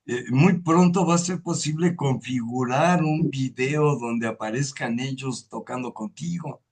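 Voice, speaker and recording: A man hosts a relaxed interview. He speaks in long, winding sentences and stops often on drawn-out fillers. His pitch sits in an ordinary middle range for a man. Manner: hesitant and wordy, many drawn-out fillers. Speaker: host. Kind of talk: conversational interview on a video call.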